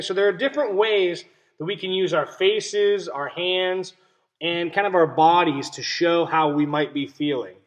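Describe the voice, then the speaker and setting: A man's voice: on the high side, muffled recording. A man teaching young children through a picture book read-aloud, explaining simply and checking in with questions.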